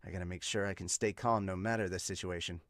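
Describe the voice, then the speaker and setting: A man reading the lines of an earnest young male baseball player from a comic, measured and matter-of-fact. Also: low voice, fairly even intonation, a bit bright, no room sound, studio quality, voice pushed down. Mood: self-critical, resolved. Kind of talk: read-aloud of manga dialogue.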